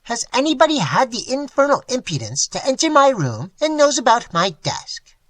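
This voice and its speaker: A man voicing a huge, peevish male professor who talks in a thin, highly sarcastic voice. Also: huge pitch swings, moderate pace, fairly bright tone, no room sound, exaggerated voice.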